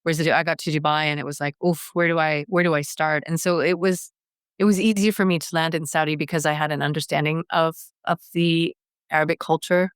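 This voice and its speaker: Woman from Canada, middle-aged, smooth clear voice, dry close sound, earnest, restarts phrases. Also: low-pitched, fairly even intonation, brisk, dry recording. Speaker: guest, wellness strategy director. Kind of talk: conversational interview.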